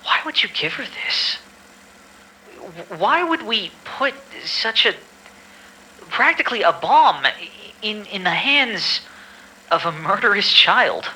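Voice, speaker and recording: A man's voice: sits high, big pitch swings, slow pace, pushed and bright, a little hiss or hum, exaggerated voice, low-quality recording. A man playing an irritable, cynical staff member who records medical files in an amateur audio drama, shifting between dry formal reading and exasperated grumbling.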